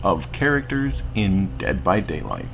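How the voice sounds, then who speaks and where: A man reading a scripted story as a narrator, in a measured, solemn, dramatic way. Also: low voice, wildly swooping pitch, creaky, fairly bright tone, slight background noise. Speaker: narrator and series host.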